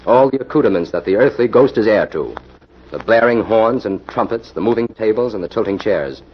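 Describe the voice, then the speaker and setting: Male radio hero, played by an actor: low, dry, measured scientist sleuth on a muffled vintage recording. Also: animated, a little hiss or hum, close to an everyday voice. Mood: explaining. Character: composed, dryly amused male scientist-sleuth. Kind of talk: 1940s radio mystery acting.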